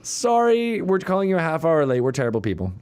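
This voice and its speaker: A man's voice: on the high side, sing-song, quick pace, darkish tone, clean tone. A man hosting a talk show in casual chat, joking and rambling in a stop-start way. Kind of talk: casual chat with co-host and guests.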